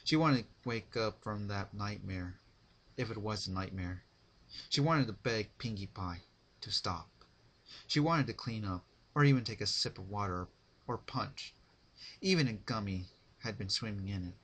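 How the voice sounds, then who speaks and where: A man's voice: lowish voice, normal speed, a bit bright. A man narrating a dramatic reading of fan fiction, with some faint noise in the recording.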